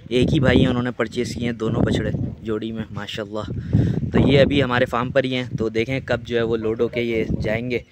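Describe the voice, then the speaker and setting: A man's voice: medium pitch, flat delivery, brisk, heavy background noise. A man with a Pakistani Urdu accent, chatting casually to his viewers while walking around a cattle farm outdoors, filmed on a phone. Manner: chatty, addresses viewers directly, many fillers. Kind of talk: casual vlog narration.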